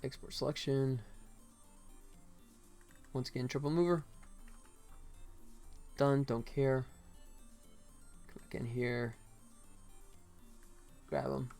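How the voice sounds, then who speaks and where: A man's voice: medium pitch, steady tone, slow, creaky, long pauses, darkish tone, faint music behind. A man live streaming as a game developer, chatting casually with viewers and muttering to himself as he works. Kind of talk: casual live stream chat while working.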